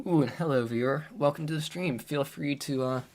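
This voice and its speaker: A man live-streaming a game, chatting casually to his viewers with a slightly hoarse voice and lots of fillers as he thinks aloud. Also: on the high side, brisk, a little mellow, a little hiss or hum.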